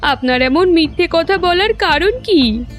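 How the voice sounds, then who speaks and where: A woman's voice: very high-pitched, expressive, measured pace, soft background music. A woman voicing a kindly village aunt who pleads and worries in a cartoon drama. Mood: pleading.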